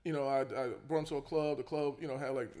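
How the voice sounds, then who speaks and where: A man, a basketball coach telling his story as a guest in a casual podcast chat, relaxed and full of fillers and restarts. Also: mid-range voice, quick pace. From Queens, New York.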